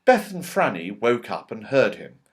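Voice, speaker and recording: A man narrating a children's storybook as a reader, with expressive delivery, dramatic pauses and strong emphasis. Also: medium pitch. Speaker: audiobook reader.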